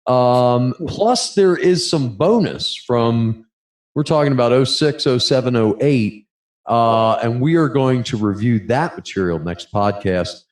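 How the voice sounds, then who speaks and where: A man chats casually as a podcast co-host. His voice sits at an ordinary middle pitch. He talks informally, as a musician does with a bandmate. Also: lively intonation, unhurried. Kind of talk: casual chat.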